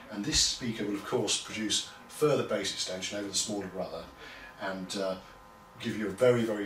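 A middle-aged English man with a fairly low voice explains products in measured phrases. He is recorded in a roomy indoor showroom, well away from the camera's microphone, with noticeable noise behind him. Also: a bit bright. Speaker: hi-fi shop presenter. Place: small indoor showroom with bare walls. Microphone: camera a few metres away. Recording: roomy, distant mic, clear background noise.

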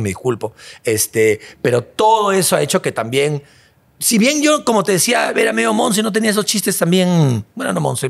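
A man's voice: medium pitch, vocal fry. A man with a Peruvian accent, chatting as a guest in an interview and rambling with many restarts. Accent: Peruvian Spanish. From Peru. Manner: rambling, restarts a lot, animated. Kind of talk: casual interview chat.

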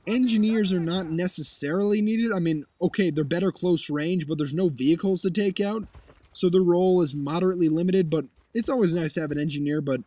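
A hoarse young man commenting on his own gameplay footage, blunt and sarcastic, swearing now and then. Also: high voice, darkish tone, dry recording. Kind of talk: casual game commentary over footage.

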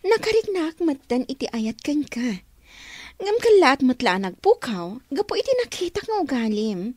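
A woman playing a disappointed, reproachful young sweetheart with an Ilocano accent, plaintive and expressive, in a comedy radio drama. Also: high-pitched, moderate pace, no echo, clean studio sound. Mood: regretful, reproachful.

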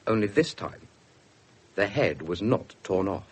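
An actor playing an earnest young police detective with a British accent, narrating and speaking in a 1970s radio drama. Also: male voice, fairly low pitch, expressive, a little slow, husky. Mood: measured narrating. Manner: formal narrating, indignant in dialogue.

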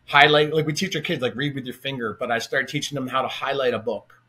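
A man's voice: mid-range voice, fairly even intonation, quick pace, bright, forceful tone. A middle-aged man hosting a podcast, chatting energetically with a guest over a video call from his home office. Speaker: podcast host. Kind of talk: casual interview chat. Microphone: webcam on a video call.

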